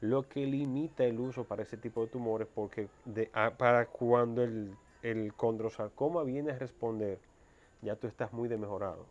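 A slightly dull, lo-fi recording of a Dominican man in his thirties. His pitch is average for a man, and he talks as a guest, explaining things in a conversational style with a Dominican accent. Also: fairly even intonation, moderate pace. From Dominican Republic. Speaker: interview guest.

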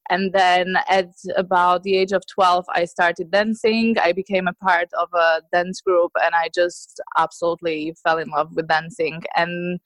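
A woman's voice: on the low side, flat delivery, measured pace, hardly any pauses, no room sound. A woman in her thirties from Slovakia, answering interview questions about her dance group in a chatty way, with many fillers and restarts. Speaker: guest, dance teacher.

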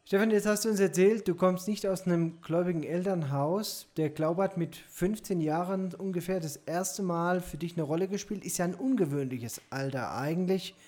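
A man hosts an interview podcast over a video call. He greets his listeners with enthusiasm and then asks his guest questions at an unhurried, measured pace. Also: high voice, a little mellow. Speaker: podcast host.

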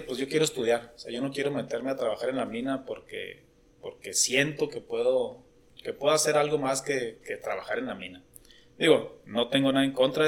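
A middle-aged man chats easily and tells stories about himself. His voice is bright and forward at a middle pitch, with a joking, unhurried delivery and small stumbles on repeated words. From Coahuila, Mexico. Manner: relaxed, jokey, repeats words when starting. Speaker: podcast guest, engineer. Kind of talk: casual storytelling over beers.

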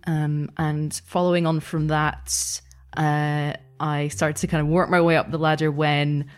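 A woman's voice: deep voice, flat delivery, measured pace. A young woman chatting casually as an interview guest, in a tentative, informal way. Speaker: guest, audio fiction producer and game master.

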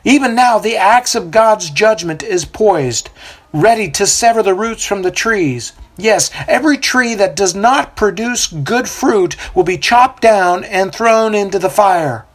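A storyteller voicing a fiery male desert prophet with a booming, coarse voice who preaches loudly to a crowd. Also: lively intonation, a little slow. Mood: stern.

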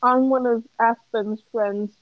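A woman's voice: fairly high pitch, steady tone, slow pace, no room sound. Young woman on a dull, muffled mic. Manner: laughs a lot, explains patiently. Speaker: friend on a group call. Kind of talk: casual chat.

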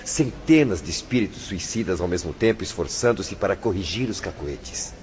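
An actor with a Brazilian accent playing a pious, courteous hospital attendant in a radio drama, speaking formally and preachily. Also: male voice, low-pitched, expressive, normal speed, darkish tone. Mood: wry, observing. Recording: dull lo-fi radio recording, faint noise.

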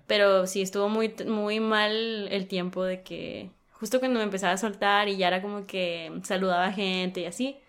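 A woman's voice: medium pitch, steady tone, normal speed, hardly any pauses, smooth. A young woman, a university student, chatting casually as an interview guest, laughing often and using many fillers. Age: young adult. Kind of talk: casual chat.

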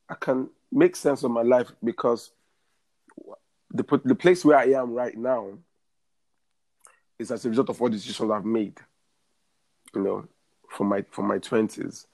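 Interview guest: man, 30s, earnest, repeats himself for emphasis, mid-pitched voice. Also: measured pace, pauses often, a little mellow. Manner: earnest, repeats phrases while building a point. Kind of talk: career advice interview.

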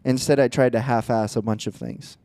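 A man's voice: mid-range voice, fairly even intonation, a little fast, mellow, no echo. A man in his twenties, co-hosting a casual podcast chat, telling stories in an earnest, reflective way. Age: 20s. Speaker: podcast co-host.

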